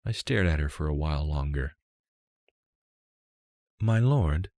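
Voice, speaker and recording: A man reading a first-person fantasy story as an audiobook narrator, in a measured, unhurried way. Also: extremely low pitch, no echo, studio quality.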